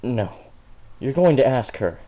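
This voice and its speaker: Man acting out bossy teen girl, insistent, commanding storybook dialogue, mellow timbre. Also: medium pitch, big pitch swings, a little slow, muffled recording. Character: bossy, insistent teenage girl pushing a friend.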